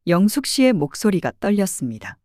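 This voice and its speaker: A woman narrating an audio drama as a private detective, in an expressive storytelling manner. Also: medium pitch, a little fast, dry recording, clean studio sound.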